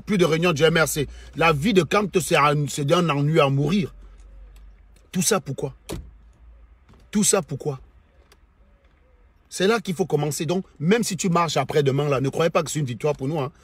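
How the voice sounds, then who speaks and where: Husky, combative Cameroonian man. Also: on the high side, dry recording. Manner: mocking, combative, repeats phrases for emphasis. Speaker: livestream host. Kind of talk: opinionated live monologue to online followers.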